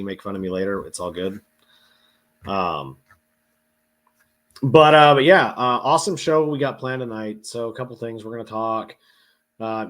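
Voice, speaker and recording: Male host, stuffy and congested, lowish voice, laid-back chat with lots of ums. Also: a bit bright. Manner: easygoing, many fillers and restarts. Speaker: podcast host. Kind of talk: casual video-call chat.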